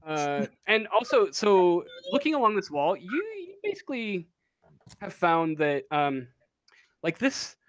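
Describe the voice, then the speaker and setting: A woman narrating an improvised tabletop game as game master, speaking informally and haltingly. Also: medium pitch, very animated, dry recording.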